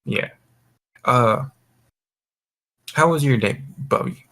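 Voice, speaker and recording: A man's voice: medium pitch, slow pace, gravelly, a bit bright. A young man hosting a gaming voice call, rambling casually in a laid-back way and swearing often. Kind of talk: casual group chat, rambling. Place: online voice call.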